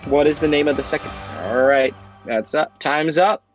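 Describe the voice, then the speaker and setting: A man hosting an amateur quiz show, upbeat and brisk as he announces questions. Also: a little high, soft background music.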